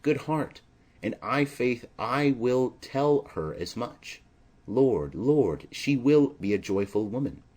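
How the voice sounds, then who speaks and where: Audiobook drama: fussy, rambling older servant woman, indignant and exclaiming, slightly husky, unhurried delivery man performs. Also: mid-range voice, expressive, darkish tone. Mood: chatty, fussy. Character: fussy, chattering older female nurse servant.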